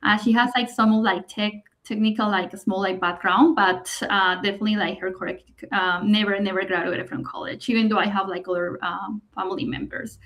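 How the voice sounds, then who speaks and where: A woman's voice: mid-range voice, fairly even intonation, a little fast, few pauses, fairly bright tone. A Colombian woman in her late thirties, a native Spanish speaker, chats as an interview guest over a video call. Her phrasing is loose and easygoing, strung together with frequent "like" as she talks.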